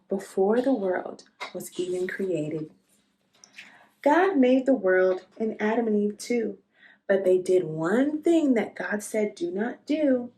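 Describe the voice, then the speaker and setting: A woman narrating a children's storybook expressively, as a read-aloud host speaking to young listeners in an unhurried storytelling way. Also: medium pitch, darkish tone, poor audio.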